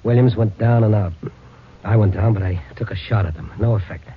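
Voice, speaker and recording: Wounded stoic policeman, man acting, lowish and weary, muffled vintage radio drama sound. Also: fairly even intonation, brisk, natural voice. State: weak from a wound. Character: wounded male patrolman, weary but stoic. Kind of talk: 1950s radio crime drama. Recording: muffled, noisy old radio recording.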